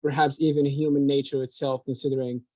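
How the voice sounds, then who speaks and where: A man's voice: mid-range voice, little pitch movement, quick pace, warm tone, no echo. Man reading out interview questions, dry sound. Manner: reads out numbered questions with hesitant fillers.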